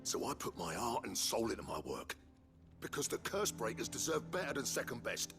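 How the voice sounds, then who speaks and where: An actor playing a gruff, proud master blacksmith who grumbles and swears bluntly in a video game. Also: male voice, mid-range voice, very animated, normal speed, over music. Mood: proud, defiant. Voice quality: gravelly. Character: gruff, proud, foul-mouthed village-born master blacksmith.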